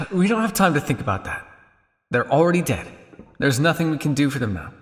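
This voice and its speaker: A man playing a weary, guilt-ridden old vampire scientist in an ASMR roleplay, speaking to the listener in a measured, dryly formal way. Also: fairly high pitch, sing-song, big-room sound. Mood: regretful, apologetic.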